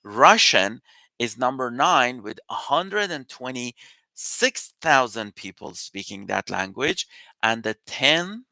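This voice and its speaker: A man whose first language is Persian, hosting a live session where he reviews immigration news and answers questions as an immigration consultant, talking through facts and figures in a steady, explanatory way. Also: mid-range voice, takes it slowly, creaky, dry recording.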